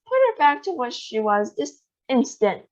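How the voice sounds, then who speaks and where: A woman's voice: high voice, very animated, a little slow, pitched above the performer's own voice. A woman voicing an alarmed, blustering father in a read-aloud storybook, giving orders. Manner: commanding, alarmed. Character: alarmed blustering father, middle-aged man. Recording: dull lo-fi recording.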